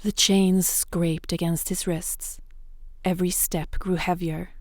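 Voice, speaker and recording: A woman narrating a dark fairytale in measured, suspenseful short phrases. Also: on the low side, little pitch movement, dry recording, clean studio sound.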